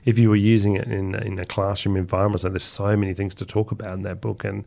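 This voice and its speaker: Low-voiced Australian man, slightly gravelly. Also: flat delivery, quick pace, a little mellow. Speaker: interview guest, children's author. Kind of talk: casual conversational interview.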